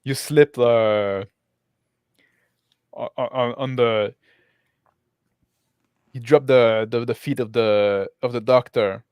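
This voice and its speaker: A man narrating a tabletop roleplaying game as game master, improvising haltingly. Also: mid-range voice, fairly even intonation, a little mellow, smooth, no room sound, studio quality.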